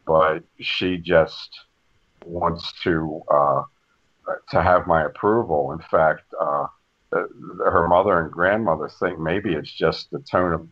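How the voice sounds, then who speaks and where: An older man with a very deep, low voice talks in a slow, measured way and restarts his sentences often. The sound is dull and lo-fi, as if the recording lost its top end. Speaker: guest. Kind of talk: casual podcast conversation. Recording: dull lo-fi audio.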